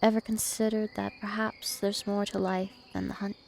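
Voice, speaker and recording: Casting: woman as an immortal vampire princess for ASMR roleplay, teasing and possessive, creaky voice over noticeable insect-like night ambience. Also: medium pitch, little pitch movement, a little mellow, a little hiss or hum, natural voice. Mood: calm, probing. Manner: slow, deliberate, teasing and possessive.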